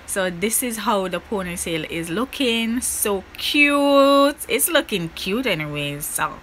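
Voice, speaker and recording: Bright-voiced upbeat woman vlogging. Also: medium pitch, lively intonation, takes it slowly, slight background noise. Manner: upbeat and chatty, addressing viewers. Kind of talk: casual vlog talk to camera. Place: small bedroom indoors.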